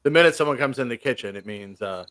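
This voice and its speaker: Male co-host, mid pitch, easygoing and wry. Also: animated, quick pace, a bit bright. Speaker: podcast co-host. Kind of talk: casual podcast chat.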